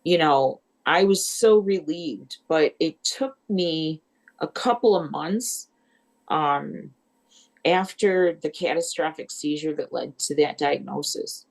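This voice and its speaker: A woman's voice: deep voice, slow, creaky. A woman in her sixties in a casual group chat, talking in an earnest, reflective way. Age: 60s.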